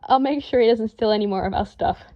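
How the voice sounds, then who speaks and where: Woman doing a laid-back young guy, quiet and teasing, kinda muffled lo-fi audio. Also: on the high side, fast talker, natural voice. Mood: soothing. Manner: soft, teasing, holding back laughter. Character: teasing, easygoing young man, amused.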